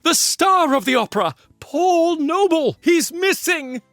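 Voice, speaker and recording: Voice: extremely high pitch, lively intonation, measured pace, a bit bright, no echo, studio quality, faint music behind, voice pushed up, heavily acted. A storybook reader voicing a distressed male theater owner who pleads about a ruined show. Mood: distraught. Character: panicked middle-aged male theater owner.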